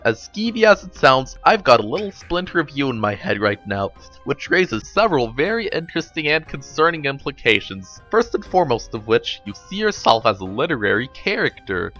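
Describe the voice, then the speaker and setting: A man's voice: medium pitch, sing-song, fairly quick, hardly any pauses, sharp tone, dull audio, faint music behind. A man voicing a sardonic, blunt young woman who lectures knowingly in a dramatic storybook reading. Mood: pointed, probing. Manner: wry, lecturing, profane.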